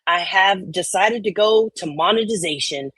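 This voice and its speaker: Woman streamer just chatting, jokey and sweary, average pitch, very dry sound. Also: moderate pace, fairly bright tone, breathy edge, no echo. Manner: sweary, joking, informal. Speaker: livestream host. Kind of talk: casual livestream chat.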